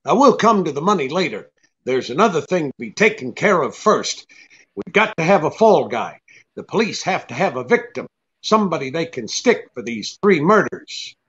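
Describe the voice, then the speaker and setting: An actor playing a tough, shrewd private detective in a radio drama, brisk and commanding. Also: male voice, a little high, sing-song, a bit bright, highs cut off. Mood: cool, bargaining. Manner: brisk, hard-boiled, commanding. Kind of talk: old-time radio drama acting.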